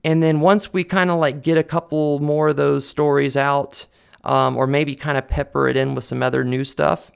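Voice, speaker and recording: Earnest man with a gravelly voice. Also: medium pitch. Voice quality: loud voice. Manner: rambling, earnest, many fillers and restarts. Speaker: vlogger. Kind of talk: casual personal talk to camera. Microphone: desk condenser mic on a boom arm.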